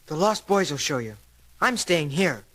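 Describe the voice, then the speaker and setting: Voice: medium pitch, big pitch swings, normal speed, noisy background, natural voice. A man voicing a cocky, brave boy adventurer in a bold, youthful manner for a storybook record. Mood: carefree.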